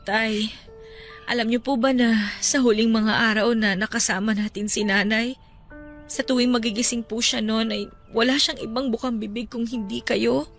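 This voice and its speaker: An actress playing a gentle, respectful young adult daughter consoling her father in an emotional radio drama, earnest and pleading. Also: fairly high pitch, steady tone, moderate pace, close to an everyday voice. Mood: gentle, sorrowful. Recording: music under the voice. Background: music.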